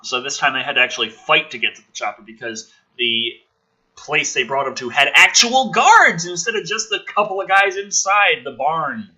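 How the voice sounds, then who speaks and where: A man's voice: on the high side, expressive, normal speed, sharp tone, husky, muffled recording. A man hosting a channel, giving a sarcastic, theatrical script reading with exasperated, foul-mouthed commentary. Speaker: host of a gaming and film channel.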